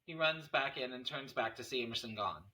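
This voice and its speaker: A man's voice: medium pitch, fairly quick, pushed and bright, low-quality recording. A man reading scene headings and stage directions as narrator at a script table read.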